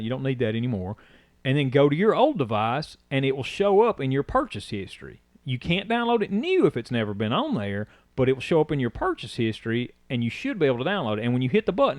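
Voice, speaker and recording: A man's voice: mid-range voice, very animated, fairly quick, dry recording. A man, chatting on a podcast as a co-host and telling a rambling story. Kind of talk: casual chat.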